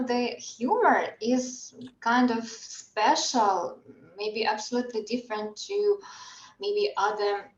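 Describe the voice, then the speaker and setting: A woman whose first language is Russian, chatting in English in a reflective way and pausing to search for words. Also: fairly high pitch. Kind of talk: casual group chat in English. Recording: roomy, slightly dull online call.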